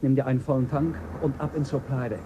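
A man's voice: mid-range voice, fairly even intonation, quick pace, noisy background, natural voice. An actor playing Jell, the stern male pilot of a spaceship, in a German radio play, talking in a firm, commanding and threatening way.

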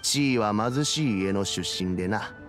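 An actor playing an aging, reflective elder statesman in a Japanese game, with gravelly, measured, old-fashioned speech. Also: on the low side, over music, natural voice. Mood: calm, explanatory. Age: older.

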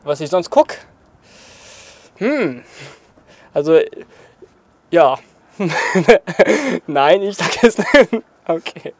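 A man's voice: high voice, very animated, very slow, pauses often, dry recording. A young man in his twenties from Portugal, interviewed outdoors, talking casually and laughing often between phrases. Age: 20s. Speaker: interviewee, choreographer. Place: outdoors in front of a graffiti wall.

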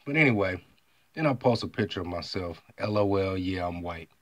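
Brief: American male vlogger, very low voice, emphatic, clears his throat a lot, dry close recording. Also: animated, brisk, fairly bright tone, no echo. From United States. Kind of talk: monologue retelling an online argument.

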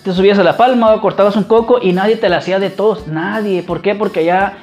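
A man from Mexico with a Mexican accent, chatting casually as a vlogger in an informal, halting, repetitive way. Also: sits high, steady tone, a little mellow, dull audio, faint music behind. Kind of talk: casual chat answering viewer questions.

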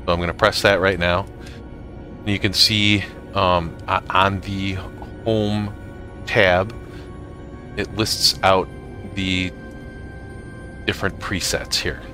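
Slow, low-voiced man giving a tutorial. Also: level tone, over music. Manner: halting, pausing mid-sentence. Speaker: gamer making a tutorial video. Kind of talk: casual software tutorial narration.

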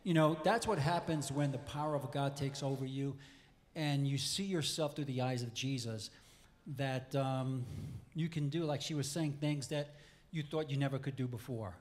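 A man's voice: mid-range voice, normal speed. A man in his forties, the pastor's husband, greeting a congregation casually and a bit hesitantly into a handheld mic. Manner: relaxed, hesitant. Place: large arena church stage. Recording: reverberant hall with music.

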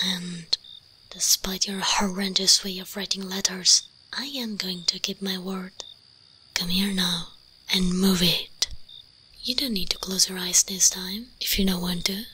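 A woman voicing a haughty, commanding vampire queen in a breathy, condescending whisper for an ASMR roleplay. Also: mid-range voice, bright, forceful tone, a little hiss or hum, low-quality recording. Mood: disdainful, then commanding, coaxing. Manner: imperious, condescending, slow deliberate phrasing.

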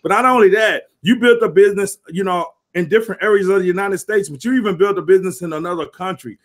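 A man, hosting a casual livestream interview over a video call from a small home office, talking with lots of energy and hyping up his guest. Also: high voice. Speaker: host. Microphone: webcam on a video call.

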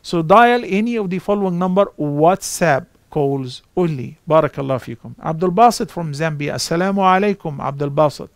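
High-voiced man answering callers, dry sound. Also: fairly quick, few pauses, no room sound. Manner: calm, explanatory, gestures while talking. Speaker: scholar answering callers' questions. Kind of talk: live call-in Q&A. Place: outdoor garden set.

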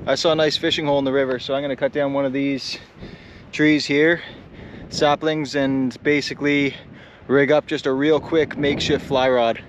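A man in his thirties talks casually into a handheld camera, as an outdoor vlogger would. His voice sits at a middling pitch, with slangy, easygoing phrasing and some noise in the camera audio. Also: level tone, moderate pace. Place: outdoors in the wilderness and inside a tent.

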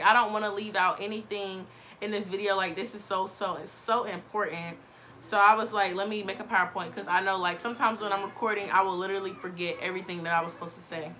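A woman's voice: mid-range voice, pushed and bright. A young woman vlogging to camera from her bedroom, chatty and encouraging, slightly sniffly while getting over a cold. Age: young adult. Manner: chatty, encouraging, addresses viewers as you guys. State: recovering from illness, sniffly. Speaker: vlogger, college student. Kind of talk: casual advice vlog to camera. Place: bedroom, sitting on a bed.

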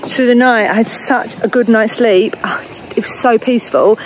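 A woman, chatting to camera on a small sailboat, excitable and talkative. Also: fairly high pitch, moderate pace. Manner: chatty, excitable, swears when thrilled. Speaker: sailing vlogger. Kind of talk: casual vlog chat to camera. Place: small sailboat and dinghy outdoors on the water. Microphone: handheld phone at arm's length. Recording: loud wind and water noise.

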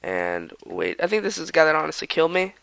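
A young man with a voice gone raspy from a cold, rambling casually while commenting on his own gameplay, sometimes muttering or whispering asides. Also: on the high side, sing-song, fast, a bit bright, no room sound, poor audio. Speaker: gaming channel host.

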